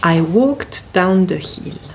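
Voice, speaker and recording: A woman reading a children's story aloud expressively, with deliberate, dramatic emphasis on key words. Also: lowish voice, expressive, a little hiss or hum, poor audio. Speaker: picture book reader at a library.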